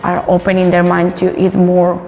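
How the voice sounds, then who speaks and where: Colombian-accented woman with a creaky voice. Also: on the low side, monotone, moderate pace, darkish tone, in a large hall, soft background music. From Bogota, Colombia. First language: Spanish. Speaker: guest and home cook. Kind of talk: casual cooking demonstration and personal storytelling.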